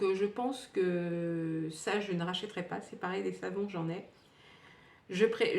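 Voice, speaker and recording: Chatty woman in her fifties, echoey room. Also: medium pitch. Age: 50s. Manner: chatty, rambling, many fillers and restarts. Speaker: beauty vlogger. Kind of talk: casual product review. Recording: echoey room, distant from the mic.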